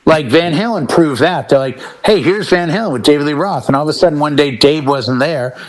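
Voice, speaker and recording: A man with a slightly high-pitched voice talks casually, like a panelist hanging out on a show. He explains things emphatically, making his points through little anecdotes. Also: a little fast. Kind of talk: casual chat about rock bands.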